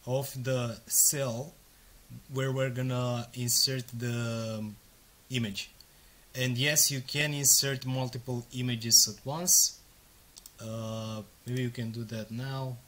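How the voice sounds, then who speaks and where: A man in his thirties, a native Romanian speaker, casually walking through a software tutorial step by step with frequent ums and uhs. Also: mid-range voice. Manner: casual, explains step by step with fillers. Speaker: software demo presenter. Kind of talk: screen-recorded tutorial walkthrough.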